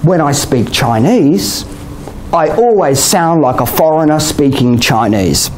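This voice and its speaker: A man's voice: a little high, animated, a little slow, a little mellow, slight background noise. Australian man teaching a chatty class. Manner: chatty, many "okay?" and "you know?" tags, laughs often. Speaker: IELTS teacher. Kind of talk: classroom lecture.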